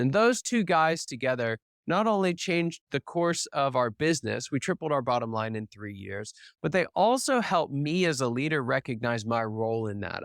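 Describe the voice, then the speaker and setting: A man's voice: on the high side, fairly bright tone, no echo, studio quality. A man telling his story as a guest on an interview podcast, earnest and reflective, often checking in with "right?". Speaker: podcast guest, business founder.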